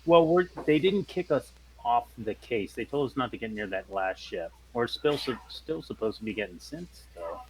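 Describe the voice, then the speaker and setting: A man's voice: medium pitch, expressive, normal speed, poor audio. A man in casual discussion as a player in a tabletop role-playing game.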